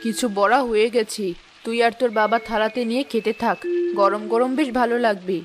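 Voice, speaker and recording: A woman acting a practical village mother from a cartoon, quick to scold and get on with things. Her voice is fairly high, with music clearly audible behind it. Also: steady tone, close to an everyday voice. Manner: practical, no-nonsense. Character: busy, brisk, mildly scolding village mother.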